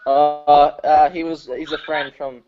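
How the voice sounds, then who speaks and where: A man's voice: a little high, level tone, slow, loud music behind the voice. A man chatting in a bashful, playful way on a casual show, on a muffled lo-fi recording. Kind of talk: casual web show chat.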